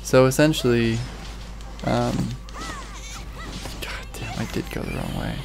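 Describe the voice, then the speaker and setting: A man streaming a game, rambling through casual gameplay commentary with a hoarse voice he is losing and thoughts that trail off. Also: medium pitch, steady tone, measured pace. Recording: faint game music behind.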